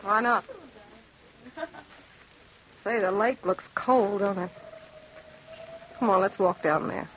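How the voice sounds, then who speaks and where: A woman's voice: medium pitch, fairly even intonation, takes it slowly, long pauses, a bit bright, slight background noise. A woman acting a tough, world-weary young gambler in an old-time radio drama, with a brassy, streetwise manner. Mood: downcast, blunt. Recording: dull old radio recording.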